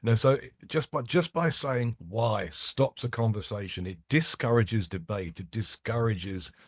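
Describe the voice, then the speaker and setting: A man's voice: lowish voice, unhurried, no room sound, clean studio sound. A man whose first language is French, a podcast guest explaining his ideas with frequent restarts and asides. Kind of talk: casual conversational interview.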